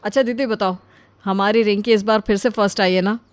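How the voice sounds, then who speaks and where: An affectionate young family man played by a woman in an animated moral tale. He speaks fondly and earnestly, as an uncle and father. The sound is dry and close. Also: medium pitch, fairly quick, smooth, no room sound, close to an everyday voice.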